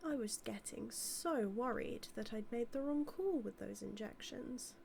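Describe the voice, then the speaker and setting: A woman's voice: fairly high pitch, measured pace, slight background noise. A woman playing a motherly mad scientist villain with a British accent, speaking fondly and theatrically to her monster in an intimate audio roleplay. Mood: fussing, fond. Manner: fond, theatrical, musing, softly dipping into breathy asides. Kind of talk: scripted roleplay audio, intimate monologue to listener.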